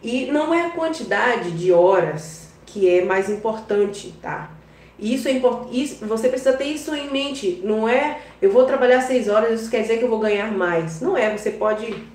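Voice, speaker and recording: A woman with a Bahian accent talks straight to her viewers in a chatty, reassuring way and keeps checking in with them as she goes. Her voice sits fairly high. It comes from a step back off the mic and bounces around an echoey room. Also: darkish tone, distant mic. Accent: Bahian Portuguese. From Brazil. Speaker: online business vlogger. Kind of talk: casual advice talk to viewers.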